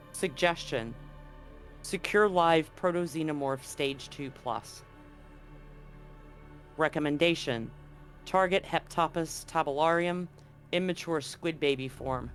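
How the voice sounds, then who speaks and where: A man with a slightly high voice works as game master, narrating and reading out in-game documents. Music plays behind him as he guides the tabletop session. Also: a bit bright.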